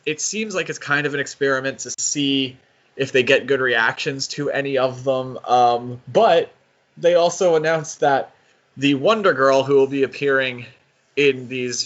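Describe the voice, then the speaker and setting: Male podcast co-host, mid-pitched, rambling and tentative in casual discussion, on a muffled lo-fi recording. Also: normal speed, fairly bright tone.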